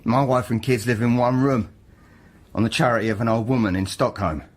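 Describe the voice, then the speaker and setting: An actor playing a bitter, ruined working-class man confessing to murder in a radio drama, halting yet defiant. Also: mid-range voice, lightly acted. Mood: aggrieved, despairing. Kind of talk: naturalistic radio drama acting.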